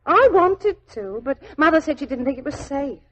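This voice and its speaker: An actress playing a naive, devoted young typist, anxious, chatty and deferential, in 1940s radio style. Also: female voice, sits high, expressive. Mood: earnest, devoted. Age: young adult. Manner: deferential, chatty, halting when flustered. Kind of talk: 1940s radio drama acting. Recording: old 1940s radio drama recording.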